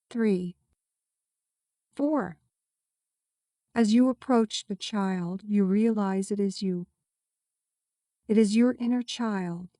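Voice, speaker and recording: A woman narrating a scripted guided meditation in a soothing, measured, gently instructing manner. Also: medium pitch, no room sound, clean studio sound.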